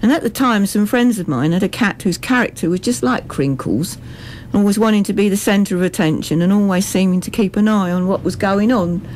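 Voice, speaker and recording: A woman's voice: mid-range voice, brisk, a little mellow, slight background noise. A woman giving articulate, explanatory answers as a radio interview guest. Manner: articulate, full sentences.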